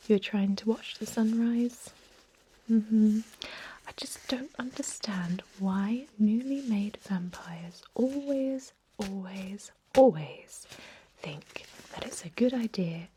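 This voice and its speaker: A woman playing a thousand-year-old vampire medic in an ASMR role-play, whispering breathily in a soothing, unhurried manner with murmured hums. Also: mid-range voice, dark tone, slight background noise, lightly acted, rough recording. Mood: gently scolding.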